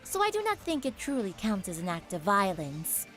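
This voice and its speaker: A woman voicing an unfazed, elegant high-school girl with formal, chiding delivery in a fan dub. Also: huge pitch swings, moderate pace. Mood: cool, chiding. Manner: poised, formal. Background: music.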